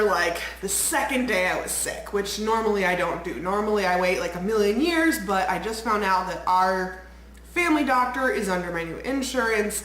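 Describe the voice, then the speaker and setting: A woman's voice: medium pitch, fairly bright tone. A young woman vlogging in a casual, rambling way, a bit congested and nasal from a cold. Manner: bubbly, rambling, lots of "like". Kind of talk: casual chatty vlog. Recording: echoey room, faint ambient noise.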